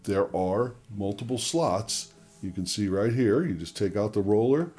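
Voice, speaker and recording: Very deep-voiced man giving a tutorial. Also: lively intonation, unhurried, darkish tone, soft background music. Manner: methodical, explanatory, addressing viewers directly. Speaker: presenter of a record-cleaning tutorial channel. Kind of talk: conversational product demonstration and explanation.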